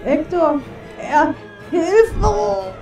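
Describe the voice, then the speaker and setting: A woman's voice: very high-pitched, extremely slow, vocal fry, darkish tone, faint music behind, full character voice. A woman playing a frightened young dwarf heroine, crying out urgently. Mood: panicked.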